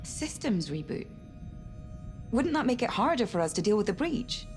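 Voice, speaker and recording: An actress playing a wry, questioning spaceship crew member in a sci-fi game, casual in manner. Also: female voice, on the high side, big pitch swings, moderate pace, soft background music. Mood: doubtful, concerned. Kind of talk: video game voice acting, sci-fi horror.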